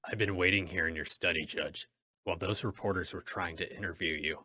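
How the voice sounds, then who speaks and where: A man playing a cool, self-possessed masked vigilante in an old-time radio drama, speaking with deliberate, taunting control. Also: low-pitched, steady tone, sharp tone, dry recording, full character voice. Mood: cool, probing.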